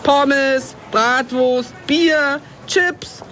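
A brisk, scolding kindergarten teacher. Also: female voice, sits high, fairly bright tone, a little hiss or hum, exaggerated voice.

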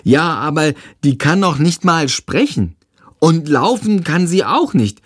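A man's voice: fairly high pitch, very animated, measured pace, breathy edge, no room sound, studio quality, voice pushed up, full character voice. A man doing a whiny little boy. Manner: whiny, pouting. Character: sulky little boy, younger brother. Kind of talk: audiobook character voice.

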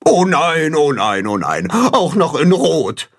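A man's voice: on the high side, very animated, slow pace, fairly bright tone, breathy edge, voice pushed up, heavily acted. A man voicing a fretful, gloomy goose who laments in despair in a children's story. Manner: wailing, repetitive, despairing.

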